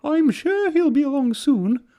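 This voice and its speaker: Man putting on a high-pitched lady train coach. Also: expressive, a little slow, warm tone, heavily acted. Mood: gentle. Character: two female railway coaches, sweet, anxious, sing-song.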